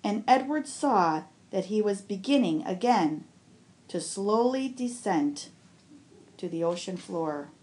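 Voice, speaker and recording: Slow, expressive woman reading a storybook. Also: medium pitch. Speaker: school staff reader. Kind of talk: reading a children's novel aloud.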